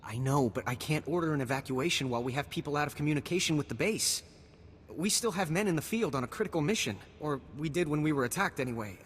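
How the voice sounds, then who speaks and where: A man's voice: fairly high pitch, lively intonation, fast talker, natural voice. An actor playing an earnest, anxious male army lieutenant in a video game, urgent and sometimes faltering. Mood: worried, pleading. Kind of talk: scripted video game cutscene acting. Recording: long reverberant hall, faint background noise.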